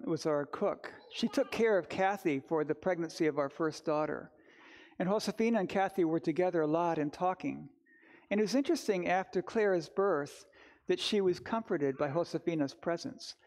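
A man's voice: high-pitched, darkish tone. An older man preaching as a pastor, telling personal stories in a reflective, conversational way. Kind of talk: informal storytelling sermon. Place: church sanctuary, standing before the altar.

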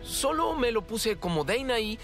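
A man's voice: very high voice, sing-song, moderate pace, fairly bright tone, exaggerated voice. A man with a Latin American accent playing an earnest, determined and curious teenage boy hero in a cartoon dub. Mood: pensive, determined. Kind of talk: animated series dub acting. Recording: music behind.

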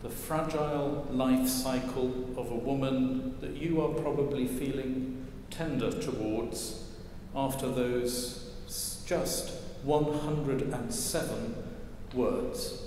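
An older man preaching a sermon from a church lectern, wry and gently humorous as he tells stories. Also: mid-range voice, slow pace, hardly any pauses, slight background noise. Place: large stone church, at a lectern. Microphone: lectern mic, far camera. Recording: echoey church with long reverb.

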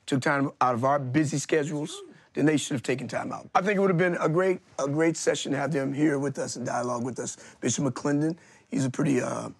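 A bishop on a television panel, chiming in agreeably with short remarks, his voice husky and a little rough at an ordinary male pitch. The sound is close and dry, with no room around it. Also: fairly even intonation, fast talker, no echo. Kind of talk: reunion-show panel talk.

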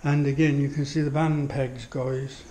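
A man giving a relaxed walkthrough of his home gym, admiring each piece of kit with many pauses and fillers, recorded on a handheld action camera in a small indoor room. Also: mid-range voice, slight background noise, poor audio. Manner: unhurried, full of fillers, admiring. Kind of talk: casual walkthrough commentary. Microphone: action camera held while walking.

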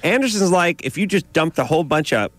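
Sarcastic radio host, lively pitch. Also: male voice, a little fast, no room sound. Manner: sarcastic, riffing with comic tangents. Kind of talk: casual radio banter with callers. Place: radio studio. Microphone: studio microphone.

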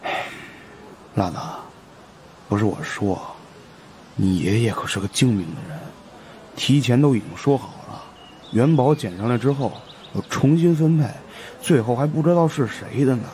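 Guy doing a fat greedy chatty type from an audiobook, hesitant and grumbly, mid pitch, lively. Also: moderate pace, pauses often, natural voice. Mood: grumbling, wary. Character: fat, greedy, superstitious, chatty male investigator. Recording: faint background noise.

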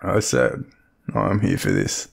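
An Australian man playing a shy, easygoing young man smitten with his friend, speaking haltingly in an intimate audio roleplay. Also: low-pitched, very animated, gravelly, breathy edge, exaggerated voice. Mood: bashful, relaxed. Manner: hesitant, halting, chuckling, stammering.

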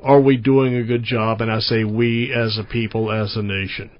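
A man's voice: on the low side, flat delivery, moderate pace, dry recording, muffled recording. Folksy man hosting a radio show. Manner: folksy, plainspoken. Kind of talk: radio interview.

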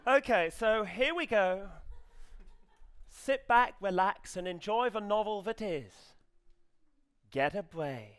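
An English man reads a comic story aloud at a slow, careful pace. His manner is hesitant and earnest, played completely deadpan, like an amateur novelist presenting his work. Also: high-pitched, clear, resonant tone. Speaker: comedian reading as an amateur novelist character. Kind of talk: live comedy reading, deadpan storytelling. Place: small stage set indoors, before an audience. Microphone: headset.

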